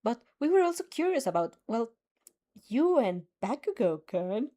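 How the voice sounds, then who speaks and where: A woman voicing a bubbly, excitable teen girl. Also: fairly high pitch, a little slow. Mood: excited. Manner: excited, eager.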